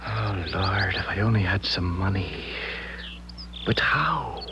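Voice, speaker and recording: A man's voice: low-pitched, lively intonation, slow, over music. A man playing a passionate poor young Irish lover, tender and defiant, with an Irish accent, in a 1970s radio drama. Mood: bitter, desperate. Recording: muffled, noisy 1970s radio broadcast.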